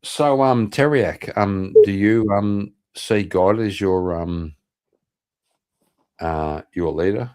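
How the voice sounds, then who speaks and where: A man's voice: on the low side, takes it slowly, a little mellow, clear, resonant tone. A man hosting a casual livestream, swearing often and talking in a blunt, combative way. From Northern Ireland. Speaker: livestream host.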